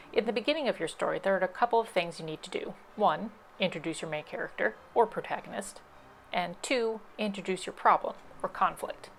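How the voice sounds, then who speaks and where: A woman's voice: lowish voice, vocal fry, slight background noise. A woman library presenter giving scripted instructional narration, explaining things step by step.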